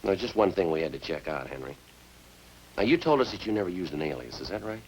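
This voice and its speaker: A man's voice: deep voice, big pitch swings, fast talker. An actor playing a methodical male police sergeant in a 1950s radio crime drama, clipped, understated and matter-of-fact. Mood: firm, matter-of-fact. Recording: noisy old-time radio recording.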